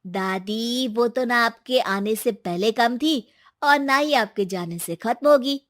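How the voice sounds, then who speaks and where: Woman voicing a high-pitched, earnest young boy. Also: slow pace. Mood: eager, reassuring. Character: young grandson, earnest, stubborn, devoted to his grandmother. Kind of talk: scripted animated moral story dialogue.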